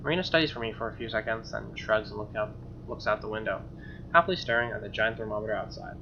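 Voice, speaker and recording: A man reading visual novel narration aloud as a let's player, expressive, with casual asides and reactions. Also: on the low side, fast, noisy background. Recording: dull lo-fi mic, music clearly behind. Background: game music.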